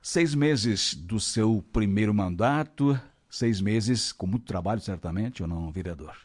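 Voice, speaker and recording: Man, radio host, low-pitched, friendly questions. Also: measured pace.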